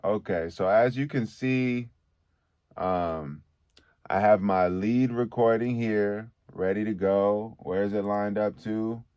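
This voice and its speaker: A man with a deep, bassy voice teaching recording in a relaxed, conversational way, often checking in with "okay?". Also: slow pace, smooth, dry recording. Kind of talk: casual tutorial.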